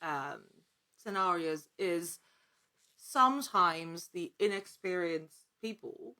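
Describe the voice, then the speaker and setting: A woman speaking as an interview guest, chatting casually with halting, emphatic repetition. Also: on the low side, sharp tone. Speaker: actor and podcast guest.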